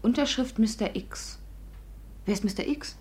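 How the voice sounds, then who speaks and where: Woman playing nosy girlfriend, fairly high voice, chatty, teasing, old radio drama. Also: normal speed, a little mellow, close to an everyday voice. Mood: teasing, curious. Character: chatty, curious, teasing female friend. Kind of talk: 1950s German radio play acting.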